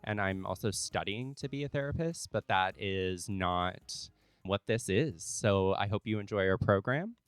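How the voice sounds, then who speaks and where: A man hosting a relaxed podcast with a fairly low voice. His tone is smooth and clear on a close mic. He chats loosely with his guest and often says "like". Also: measured pace, no echo. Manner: chatty, relaxed, lots of "like". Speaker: podcast host. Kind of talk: casual interview chat.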